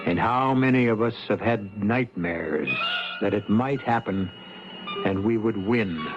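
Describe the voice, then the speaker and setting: A man hosting a radio drama, reading scripted narration in a measured, rhetorical manner. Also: lowish voice. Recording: dull old radio recording. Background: music.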